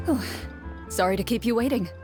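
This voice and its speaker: A woman playing a courteous, earnest duelist and game master in a video game, speaking in a measured, articulate, formal way. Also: a little high, over loud music, natural voice. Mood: calm, earnest. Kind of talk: video game character acting.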